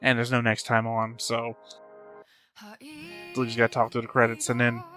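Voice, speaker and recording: A man giving unscripted reaction commentary as a host, rambling as he thinks out loud. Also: medium pitch, monotone, moderate pace, faint music behind.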